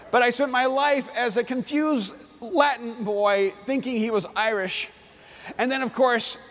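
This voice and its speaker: A man's voice: very high-pitched, fairly bright tone, big-room sound. A man from El Salvador whose first language is Spanish, preaching a sermon, reading scripture and then telling his own story in an earnest, personal way.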